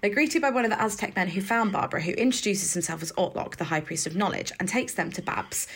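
A young woman in her twenties co-hosting a podcast, bubbly and animated, laughing often as she chats and reads a recap. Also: medium pitch, fast talker, breathy edge. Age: 20s. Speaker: podcast co-host. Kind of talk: casual chat and scripted recap.

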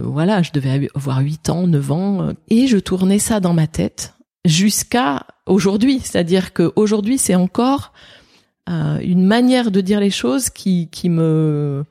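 A woman pastor in her fifties from France talks in a gravelly, mellow voice. She tells her story reflectively and hesitantly, with many fillers. The interview takes place indoors. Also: on the low side. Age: 50s. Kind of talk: interview conversation. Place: indoors, office.

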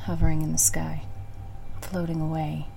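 A woman playing a wistful, solemn survivor of a post-apocalyptic world in a halting, reflective audio drama monologue. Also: sits low, monotone, gravelly, warm tone, slight background noise, poor audio. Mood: somber.

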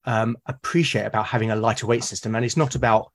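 A man's voice: on the low side, fairly even intonation, fast talker. A British man being interviewed as a guest, who talks casually and hesitantly, often repeating words as he starts a sentence. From United Kingdom. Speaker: guest, artist and podcaster. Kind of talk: casual conversation.

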